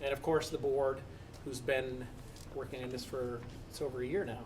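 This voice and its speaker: A man's voice: mid-range voice, flat delivery, moderate pace, far from the mic, noisy background. A middle-aged man presenting at a school committee public meeting, earnest and thankful, with some restarts. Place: school building meeting room.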